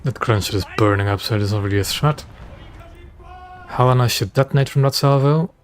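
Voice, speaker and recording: A man's voice: medium pitch, brisk. A man from West Flanders with a Flemish accent, a native Dutch speaker, chatting casually in English while streaming a game and answering chat. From West Flanders, Belgium. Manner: relaxed, rambling, answers chat between game calls. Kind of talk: casual gameplay stream commentary.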